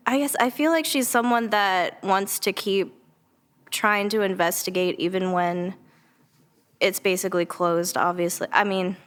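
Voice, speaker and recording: A woman's voice: mid-range voice, steady tone, unhurried, fairly bright tone. Casual, tentative woman in mid-range voice. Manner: tentative, informal, frequent "like". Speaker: actress, podcast guest. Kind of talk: casual chat.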